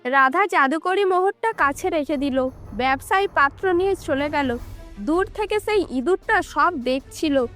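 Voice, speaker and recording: A woman's voice: high voice, animated, measured pace, a bit bright, soft background music. A woman narrating a scripted cartoon fairy tale as the storyteller.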